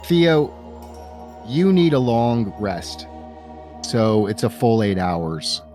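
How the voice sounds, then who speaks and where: A man's voice: medium pitch, very animated, slow, mellow, faint music behind. A man narrating a tabletop fantasy game as game master, telling the scene descriptively to the players. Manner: descriptive second-person storytelling.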